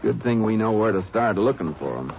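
An actor playing a calm, courteous, shrewd Texas Ranger in a 1950s radio drama, speaking in a laconic, steady way. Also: male voice, on the low side, fairly quick, creaky. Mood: shrewd, decided. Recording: dull, noisy vintage radio recording.

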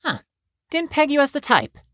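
A woman's voice: sits high, huge pitch swings, moderate pace, a bit bright, dry recording, heavily acted. A woman playing a blunt, prickly, grudgingly kind young patient in an audio drama, with dry, formal phrasing. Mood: skeptical, grudging.

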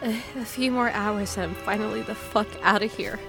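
An actress playing a grieving, defiant, foul-mouthed woman survivor in an audio drama, speaking in halting, emotional bursts. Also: medium pitch, gravelly, music in the background, natural voice. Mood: weary resolve. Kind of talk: full-cast audio drama acting.

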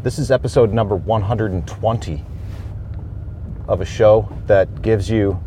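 Man, podcast host, very slow deliberate delivery, steady noise behind, thoughtful pauses. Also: on the low side. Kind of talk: casual solo podcast talk. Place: on a homestead farm.

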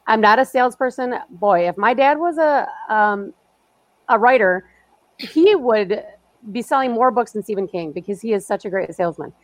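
A woman writer talks in casual chat, hesitant and self-deprecating. Her voice sits a bit high, and the sound is dry and close. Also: animated, normal speed, dry recording. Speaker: podcast guest, writer.

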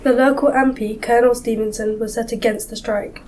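A woman acts an earnest female newspaper reporter from 1919. She narrates an audio drama in a fairly high voice, with some ambient noise around her. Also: fairly even intonation, brisk, a little mellow, close to an everyday voice. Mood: earnest, reporting.

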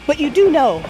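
A woman's voice: high-pitched, full character voice, rough recording. A woman playing a teasing, confident and bossy superhero fighter in an audio drama. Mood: matter-of-fact. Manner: teasing, assertive.

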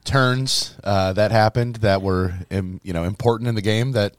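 Hoarse male priest co-host, low voice, chatty storyteller, dry close mic. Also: normal speed, no echo. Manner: rambling, storytelling with laughs. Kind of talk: casual chat.

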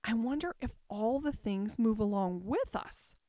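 Woman doing a shy polite little girl, storybook style, highish n lilting, kinda puzzled. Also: expressive, measured pace, warm tone, no room sound, clean studio sound. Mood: wistful, wondering. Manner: polite, hesitant. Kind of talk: storybook character voice.

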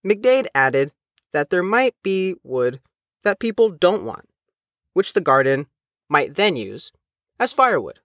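Lively-pitched man reading news, dry sound. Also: slow pace, pauses often, dry recording. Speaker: reporter. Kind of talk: scripted news narration.

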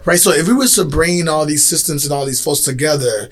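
A man's voice: a little high, fairly quick. A man hosting a casual panel discussion, informal and jokey in the way he talks. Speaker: podcast host.

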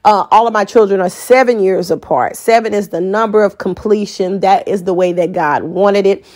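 A woman's voice: mid-range voice, normal speed, a little mellow. American woman in her 40s just chatting off the cuff on her podcast, real candid and conversational. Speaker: podcast host. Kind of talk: unscripted personal monologue, casual chat.

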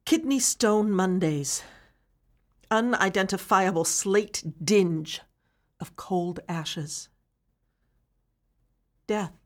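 An actress playing a weary woman prisoner, delivering a slow, brooding poetic monologue. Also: medium pitch. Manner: slow, brooding, lingering on each image. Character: weary female prisoner musing on gray.

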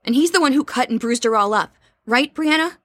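A woman's voice: sits high, animated, brisk, a bit bright, no echo, clean studio sound. An actress playing an anxious, insistent young woman with an urgent, direct manner in an audio drama. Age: young adult. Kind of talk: naturalistic audio drama acting.

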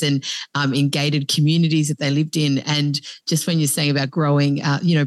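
A mid-pitched podcast host from Australia who thinks aloud, pausing and reaching for words. The sound is dry and close, with no room echo. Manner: thoughtful, searching for words. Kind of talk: conversational interview.

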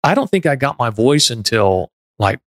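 A man's voice: medium pitch, very animated, moderate pace, no room sound, clean studio sound. A middle-aged man from Texas, hosting a podcast in casual, earnest, reflective conversation. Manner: earnest, self-reflective, frequent restarts. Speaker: podcast host.

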